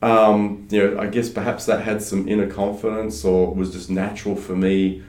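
A low-voiced man from Australia whose first language is French, speaking as an interview guest. He talks in a relaxed way, with many fillers and restarts. The room around him adds a noticeable echo. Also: moderate pace. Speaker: guest, startup advisor. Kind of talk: casual interview conversation. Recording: roomy echo.

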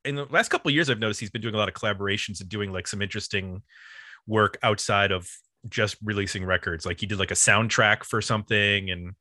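A man's voice: on the low side, quick pace, pushed and bright, no echo, studio quality. A man hosting a music podcast, casually explaining the history of an album in an informal, loosely structured way.

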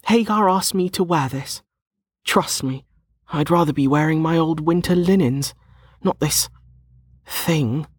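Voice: medium pitch, measured pace, pauses often, husky, dry recording, pitched above the performer's own voice. A narrator voicing a flustered, indignant young woman who protests bluntly, in an audiobook. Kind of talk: audiobook character dialogue.

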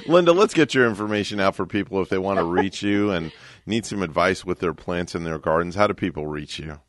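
A man's voice: sits low, expressive, fairly quick, no room sound. A man hosting a morning radio show from the studio, upbeat and playful with his guest. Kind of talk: casual radio banter.

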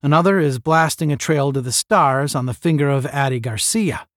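A man voicing a seasoned male space officer who speaks forcefully and with pride to young cadets. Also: medium pitch, normal speed, dry recording, clean studio sound, close to an everyday voice. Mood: earnest, proud. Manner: forceful, proud, instructive.

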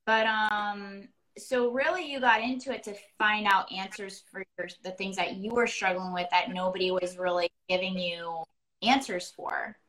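Woman, podcast host, casual interview chat, effusive, bright tone. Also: mid-range voice, steady tone, normal speed, low-quality recording. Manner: warm, effusive, rambling.

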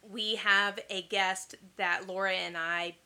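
A woman's voice: mid-range voice, little pitch movement, slow, sharp tone, clear, resonant tone. A woman hosting a chat podcast, chatty and teasing, often restarting her sentences. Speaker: podcast host.

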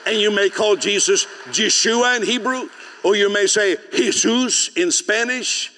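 A man preaching in English, his second language, with a voice that sits somewhat high. He swings between loud, rising declarations and quiet, conversational asides, often repeating words as he gets going. A long reverb from a large hall trails every phrase. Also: unhurried. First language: not English. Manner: preaching with sudden loud rises and soft, chatty asides. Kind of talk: sermon.

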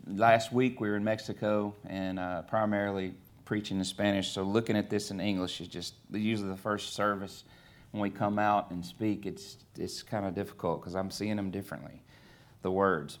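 A middle-aged man from Alabama with a Southern US accent, preaching a sermon in a conversational, halting way with many fillers. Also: on the low side. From Alabama, USA. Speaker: guest preacher. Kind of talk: sermon with scripture reading.

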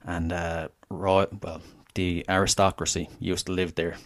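An Irish man with a very deep voice speaks as a podcast guest. His talk is relaxed and casual, with small restarts as he tells a story. The sound is dry, with no room around it. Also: unhurried, dry recording. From Ireland (Wexford).

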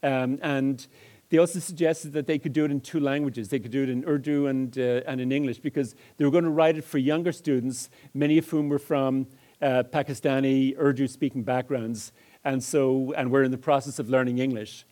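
A man giving a lecture, explaining examples in a measured, hesitant way. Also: medium pitch, fairly even intonation, dark tone. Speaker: lecturer on multilingual education.

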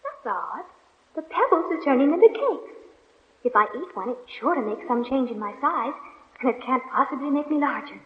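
A woman's voice: high voice, sing-song, moderate pace, breathy edge, dry recording, exaggerated voice. A woman playing a polite, curious young girl who muses aloud to herself in an old radio play. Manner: polite, wondering, talks to herself. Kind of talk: 1940s radio acting. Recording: dull old radio recording.

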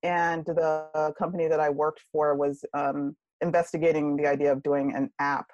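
A woman guest on a podcast interview talking about her career in a relaxed way, with many fillers. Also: low voice, normal speed, no echo, dull audio. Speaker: guest, UX writer. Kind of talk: casual interview.

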